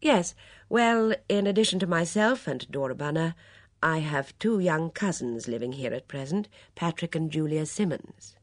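Woman playing a composed, sensible older lady, lively intonation. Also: deep voice, normal speed, dry recording, studio quality. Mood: matter-of-fact. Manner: firm, matter-of-fact, soothing. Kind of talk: audiobook character dialogue.

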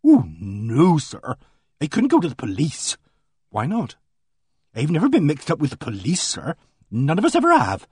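A man's voice: fairly high pitch, huge pitch swings, normal speed, darkish tone, slightly rough, no room sound, studio quality, full character voice. A man voicing an earnest, indignant middle-aged village charwoman who rambles breathlessly. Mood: shocked. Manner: breathless, rambling country speech.

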